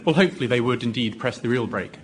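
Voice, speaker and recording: A man appearing as a contestant on a comedy show, pitching and defending his idea to the panel. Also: fast talker. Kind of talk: reading out a letter, then defending it. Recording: loud audience noise behind voice.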